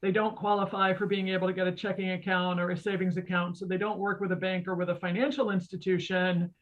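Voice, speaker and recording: A woman's voice: lowish voice, level tone, quick pace. A woman co-hosting a podcast, explaining conversationally in an earnest, emphatic way, on slightly muffled audio. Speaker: podcast co-host. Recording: dull, band-limited audio.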